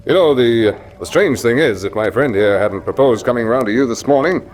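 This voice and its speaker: Plain-spoken young baronet, played by a man, animated delivery. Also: medium pitch, normal speed, natural voice, rough recording. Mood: forthright. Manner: informal, forthright. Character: Sir Henry, plain-spoken, easygoing young baronet of about thirty. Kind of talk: radio drama acting.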